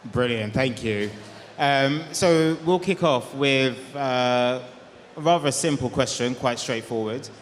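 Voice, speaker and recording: A man's voice: mid-range voice, takes it slowly, slight background noise. A man moderating a conference panel, hesitant with frequent ums. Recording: reverberant hall.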